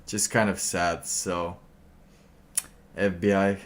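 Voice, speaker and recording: Male streamer, deep register, unhurried and halting, thinking aloud in loose, trailing phrases. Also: flat delivery. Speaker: livestreamer. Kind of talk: casual livestream monologue.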